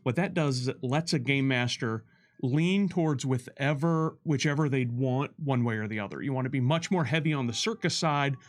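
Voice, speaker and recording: Male game developer with halting, emphatic delivery. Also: medium pitch. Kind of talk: developer commentary, conversational.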